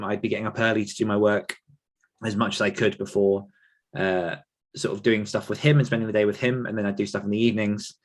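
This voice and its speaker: A British man in his thirties talking casually as a podcast guest, chatty and anecdotal in manner. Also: fairly low pitch. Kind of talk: casual conversational interview.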